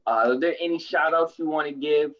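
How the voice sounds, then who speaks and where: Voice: mid-range voice, little pitch movement, a little fast, distant mic, muffled recording. A co-host in casual chat who talks animatedly and repeats words when starting sentences. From United States.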